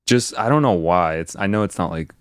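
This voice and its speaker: Deep-voiced slangy Chicago man. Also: lively intonation, brisk, no room sound, clean studio sound. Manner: laid-back, slangy, swearing. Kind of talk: casual chat.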